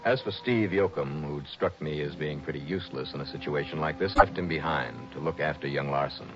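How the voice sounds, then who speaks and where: A very deep-voiced hard-boiled investigator. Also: male voice. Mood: terse, suspenseful. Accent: American. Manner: terse, brisk, hard-boiled. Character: tough, determined male insurance investigator narrating his case. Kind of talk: 1960s radio detective acting, clipped dramatic narration. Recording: dull vintage radio recording with music.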